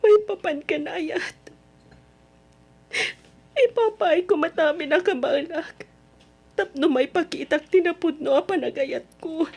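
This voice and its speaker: Very high-pitched, tearful young Filipina. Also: female voice, darkish tone. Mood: anguished, weeping. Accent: Ilocano Filipino. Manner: tearful, plaintive. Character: heartbroken young woman, betrayed by her boyfriend. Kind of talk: old-time Ilocano radio drama acting.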